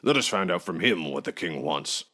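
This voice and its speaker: A man's voice: sits low, animated, normal speed, sharp tone, exaggerated voice. A man voicing a self-important, eager-to-please innkeeper in a folk tale, emphatic and bossy with his servants. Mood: flustered. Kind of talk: folk tale storybook reading.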